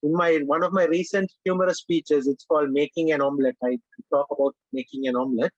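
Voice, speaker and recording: Man from sri lanka, high voice, emphatic workshop trainer, dry muffled mic. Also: fast talker, dry recording. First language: Sinhala or Tamil. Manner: animated, emphatic, repeats "my dear friends". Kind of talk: online workshop lecture.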